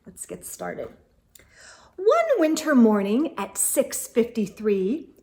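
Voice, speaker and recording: High voiced lady doing storytime for kids, really animated and theatrical, slow and suspenseful. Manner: theatrical, drawn-out suspense with gasps and asides. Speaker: storytime host reading picture books aloud. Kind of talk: expressive children's read-aloud narration.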